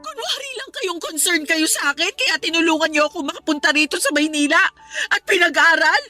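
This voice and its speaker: A woman's voice: extremely high pitch, lively intonation, a little fast, pushed and bright, faint music behind, exaggerated voice. A woman playing a wounded, resentful young niece in a Tagalog radio drama, pleading yet standing firm. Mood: hurt, defiant.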